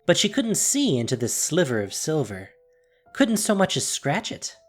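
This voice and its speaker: A narrator reading a scripted fantasy story in a measured, theatrical way with deliberate pauses. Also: medium pitch, very animated, faint music behind.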